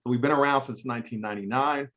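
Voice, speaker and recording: Guy hosting an online event, relaxed chatty, lots of ums, kinda muffled lo-fi audio. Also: mid-range voice, racing. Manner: casual, conversational, frequent fillers. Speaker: event host.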